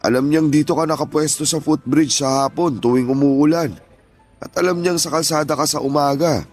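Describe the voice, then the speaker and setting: A man's voice: on the high side, fairly even intonation, normal speed, soft background music, close to an everyday voice. A man voicing an aging, earnest father. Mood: confronting. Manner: pleading, earnest, scolding turning gentle. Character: aging father, stern yet tender, grieving. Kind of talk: Tagalog radio drama acting.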